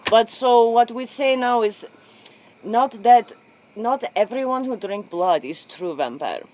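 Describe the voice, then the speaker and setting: A woman's voice: a little high, animated, measured pace, a little mellow, a little hiss or hum, rough recording. A woman playing an earnest, curious interview host, rambling in broken English in a spoof late-night show.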